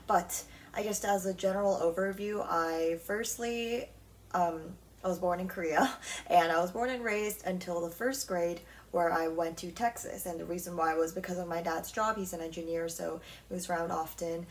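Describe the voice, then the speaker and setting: A woman's voice: lowish voice, normal speed, far from the mic, a little hiss or hum. Chatty young woman, a little off-mic. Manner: chatty, frequent "like" and "um" fillers. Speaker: podcast host. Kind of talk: casual solo podcast chat.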